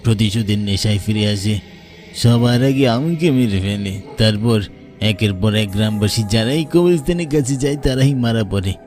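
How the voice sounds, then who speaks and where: Cartoon casting: male actor as remorseful tea-seller ghost, eastern Bengali accent, dark mellow tone, faint music. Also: lowish voice, moderate pace, close to an everyday voice. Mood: regretful. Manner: grave, warning. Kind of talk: horror cartoon voice acting.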